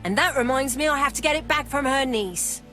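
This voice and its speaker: A woman's voice: high-pitched, a little fast, bright, forceful tone, faint music behind. An actress playing a blunt, defensive working-class woman fruit seller in a video game. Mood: indignant. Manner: blunt, colloquial.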